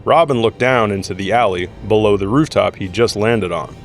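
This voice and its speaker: A man's voice: fairly low pitch, moderate pace, music in the background. A man narrating an audio drama from a script, telling the story in the third person.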